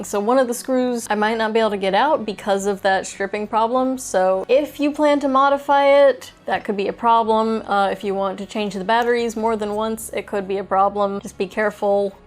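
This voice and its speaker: A woman giving a casual, chatty product review, thinking aloud as she demonstrates. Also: mid-range voice. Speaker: toy reviewer, host.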